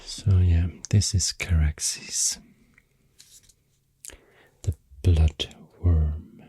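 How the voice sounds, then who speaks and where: A man with a Swedish accent speaking softly and breathily, often close to a whisper, in a soothing ASMR ramble, close to the microphone. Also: steady tone, no echo. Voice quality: deep, soft, breathy, often near whisper. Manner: slow, hushed, soothing. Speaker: ASMR host. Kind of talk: soft-spoken ASMR rambling.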